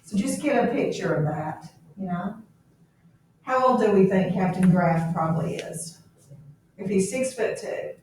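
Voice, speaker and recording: A woman's voice: mid-range voice, unhurried, darkish tone, a little hiss or hum. A woman teacher reads aloud to a class and checks understanding with questions. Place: echoey indoor room. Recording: very echoey room, distant mic.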